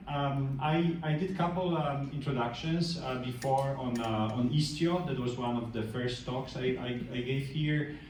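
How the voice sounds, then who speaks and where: Middle-aged Polish tech presenter, hesitant and filler-heavy, slight background hum. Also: male voice, mid-range voice, darkish tone, distant mic. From Poland. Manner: hesitant, many fillers and restarts. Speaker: tech speaker. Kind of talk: meetup workshop talk. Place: large meeting room. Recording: echoey room, speaker off-mic.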